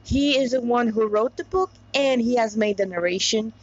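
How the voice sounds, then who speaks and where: A woman with a somewhat high-pitched voice narrates in a homemade, informal style. She rambles and digresses, slipping between reading and chatty explanation. The sound is a little dull and lo-fi. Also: moderate pace. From Montreal, Canada. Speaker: narrator reading a story aloud with commentary. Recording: dull lo-fi recording.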